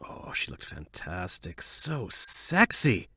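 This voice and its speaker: A man's voice: deep voice, sing-song, slow, sharp tone, husky, no room sound, heavily acted. Naive touchy politician's son, guy acting it out, lots of up and down in his voice, dry sound, radio drama. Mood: defensive. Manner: defensive, petulant. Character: defensive, naive, hot-headed mayor's son.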